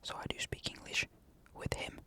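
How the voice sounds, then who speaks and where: A young man from Finland with a Finnish accent, answering comments in a casual, matter-of-fact ASMR whisper. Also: moderate pace. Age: young adult. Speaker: ASMR creator. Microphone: USB condenser mic.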